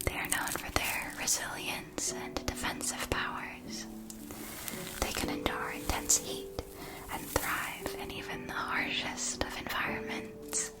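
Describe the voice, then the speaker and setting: A woman playing a kindly potion-supply shopkeeper in a scripted fantasy ASMR roleplay, speaking in a soft, breathy whisper with deliberate, gently explanatory delivery. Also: lightly acted. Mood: soothing, helpful. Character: kindly female shopkeeper of magical witch-school potion supplies.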